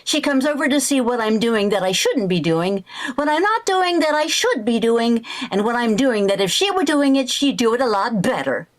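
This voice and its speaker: A woman playing an excitable, scheming, flighty housewife in a 1940s-style radio comedy, theatrical and full of exclamations. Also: on the high side, moderate pace. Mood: exasperated. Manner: theatrical, exclamatory, mock-innocent. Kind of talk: 1940s radio sitcom acting.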